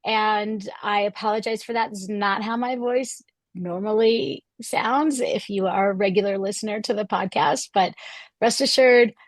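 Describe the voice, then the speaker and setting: Podcast host, woman, 50s, hoarse, upbeat and chatty, dry close-mic sound. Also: mid-range voice, steady tone, moderate pace, no room sound. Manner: enthusiastic, chatty, with fillers. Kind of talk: podcast interview hosting.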